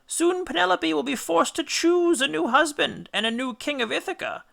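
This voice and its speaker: A man voicing the mournful ghost of an aged mother in a thin, high, plaintive falsetto for a storybook. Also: moderate pace, creaky, bright, forceful tone, heavily acted. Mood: reproachful, fretful. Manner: plaintive, questioning.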